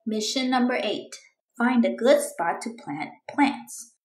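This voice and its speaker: A woman reading a storybook aloud for children in an expressive, deliberate, clearly phrased way. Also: fairly high pitch, echoey room. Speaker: children's book reader.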